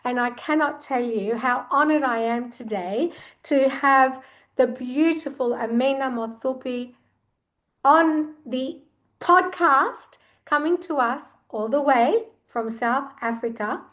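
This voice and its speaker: High-voiced woman hosting an interview show. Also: takes it slowly, a bit bright. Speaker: podcast host and interviewer. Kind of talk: warm formal introduction and interviewing.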